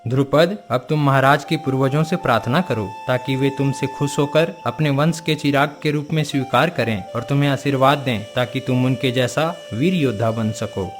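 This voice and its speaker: A man's voice: mid-range voice, moderate pace, hardly any pauses, music in the background, natural voice. A man playing a wise, all-knowing forest guru who speaks in a solemn, formal and instructive way, in a cartoon moral story.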